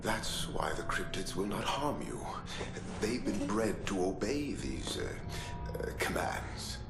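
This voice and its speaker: A clinical doctor interrogating a captive in a game drama. His voice is extremely deep and measured, with careful, hesitant pauses. It rings in an echoing room with music underneath. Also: very animated, gravelly, exaggerated voice. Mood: clinical, wary. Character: calm, probing male doctor interrogating a prisoner. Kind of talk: dramatic video game cutscene acting. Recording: roomy echo with music behind.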